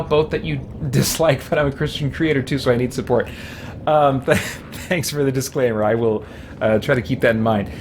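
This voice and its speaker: A man's voice: a little high, a little hiss or hum. A middle-aged man hosting a game live stream, chatting casually and thinking aloud in an easygoing, rambling way.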